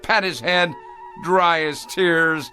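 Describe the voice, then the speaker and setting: An actor playing a smug, callous, falsely genial company boss in a radio drama, condescending and mocking. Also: male voice, a little high, slow, over loud music.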